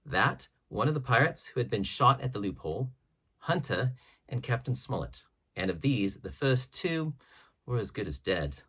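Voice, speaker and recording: A man reading an adventure story aloud as scripted narration, with measured, dramatic phrasing. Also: medium pitch, very animated, fairly bright tone, slightly rough. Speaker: audiobook narrator.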